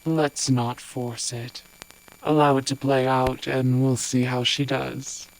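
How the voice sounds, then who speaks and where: A woman playing a coolly calculating empress in an audio drama, deliberate and restrained. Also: rumbling low, flat delivery, a little mellow, exaggerated voice, poor audio. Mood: cold, resigned.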